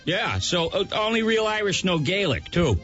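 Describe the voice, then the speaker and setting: A man's voice: high voice, sing-song, moderate pace, fairly bright tone, voice pushed up. Man doing a crude Boston Irish grump. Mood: ranting. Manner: gruff rant, jokey and crude. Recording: slightly muffled radio audio with music.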